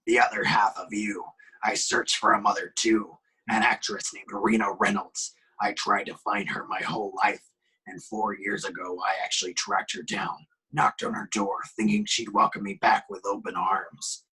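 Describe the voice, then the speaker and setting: A man voicing a bitter, vengeful male killer, brooding and deliberate, in an amateur horror script reading. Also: lowish voice, steady tone, pushed and bright, slightly rough, heavily acted, rough recording. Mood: bitter menace.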